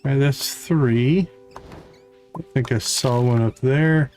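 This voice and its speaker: A man hosting a livestream, giving casual gameplay commentary and thinking aloud in an unhurried way. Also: mid-range voice, darkish tone, faint music behind. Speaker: livestream host.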